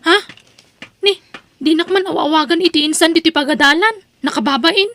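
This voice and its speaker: A woman's voice: very high voice, moderate pace, bright, forceful tone, full character voice. A woman playing a bold, outspoken young schoolgirl in an Ilocano audio drama, with an Ilocano accent. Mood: irritated.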